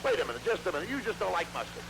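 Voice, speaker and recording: A man playing the exasperated straight man in a 1940s radio comedy, trying to calm his partner down. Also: sits high, racing, gravelly. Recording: noisy old radio broadcast.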